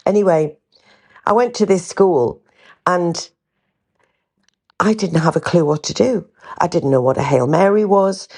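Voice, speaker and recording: A woman's voice: mid-range voice, creaky, a little mellow, husky. An older woman from North East England with a faint Geordie accent, hosting a podcast and chatting candidly with frequent ums and restarts. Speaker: podcast host. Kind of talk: candid casual storytelling.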